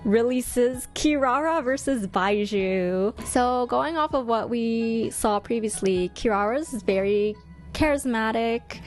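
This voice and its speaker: Expressive high-voiced woman streamer over music. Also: slow pace. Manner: expressive, gushing, deliberating aloud. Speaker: game streamer and host. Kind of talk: casual livestream commentary, chatting with viewers.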